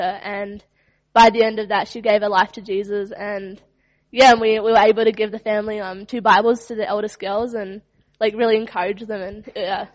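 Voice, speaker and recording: A woman's voice: mid-range voice, poor audio. A young woman from Western Australia sharing a personal testimony in church, earnest and often saying "like". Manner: earnest, fills with "like".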